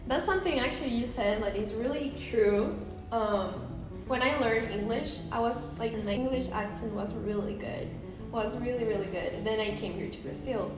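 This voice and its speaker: Female co-host, slightly higher voice, chatty, distant mic with music. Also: moderate pace, heavy reverb. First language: Spanish. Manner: casual, many fillers like "like" and "you know". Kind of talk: podcast conversation.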